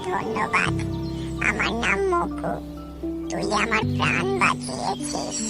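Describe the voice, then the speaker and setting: A woman's voice: mid-range voice, sing-song, slow, creaky, over loud music, heavily acted. A woman voicing a clever, loyal talking monkey in a children's moral story cartoon. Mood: grateful.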